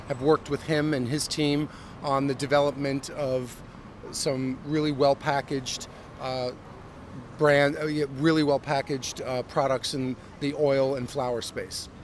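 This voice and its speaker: Middle-aged American CEO, polished business pitch with filler words, outdoor street interview, traffic audible. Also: male voice. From United States. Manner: fluent corporate pitch with frequent um and uh. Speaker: company CEO. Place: busy downtown street outdoors. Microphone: handheld mic held by interviewer. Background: city traffic.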